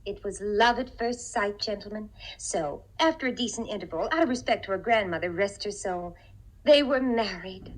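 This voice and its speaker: A woman's voice: medium pitch, animated, normal speed, natural voice, rough recording. A woman playing a naive, eager-to-please young receptionist in a 1950s radio comedy, rambling on sweetly and politely. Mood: dreamy, chatty. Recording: vintage 1950 radio broadcast.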